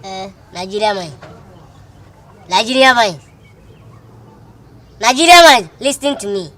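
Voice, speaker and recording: A woman's voice: mid-range voice, expressive, a little slow, fairly bright tone, no room sound. A woman with a Ghanaian accent playing a hot-headed, defiant daughter guarding her father's land, scolding and repeating herself in film drama dialogue. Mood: angry, demanding. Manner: confrontational, scolding, repetitive.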